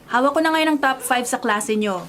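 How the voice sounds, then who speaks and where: A woman's voice: sits high, brisk, fairly bright tone. A woman with a Filipino accent playing a caring, orderly schoolteacher in a radio drama, speaking in an instructive way. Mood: announcing, firm. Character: caring, orderly female schoolteacher of young children. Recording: clearly noisy background ambience.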